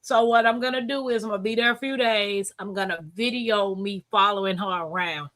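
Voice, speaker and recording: A woman's voice: mid-range voice, a little fast, fairly bright tone. A woman, chatting casually and telling stories as a co-host on a group live stream. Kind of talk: casual group chat, storytelling.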